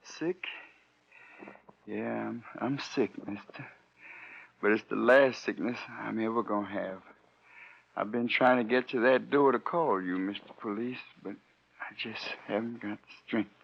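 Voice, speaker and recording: An actor playing a weary, bitter poor man who is dying, speaking weakly and haltingly in folksy slang in a 1940s radio drama. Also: fairly low pitch, vocal fry, breathy edge, exaggerated voice. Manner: weak, halting, folksy slang, wryly mocking. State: weak, failing breath. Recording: dull vintage radio recording.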